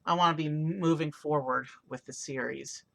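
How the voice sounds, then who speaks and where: A hoarse man with a raspy voice casually narrating a toy car build on a stream, rambling with many fillers. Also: on the high side, steady tone, moderate pace, a bit bright. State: hoarse from overuse. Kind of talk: casual live-stream commentary.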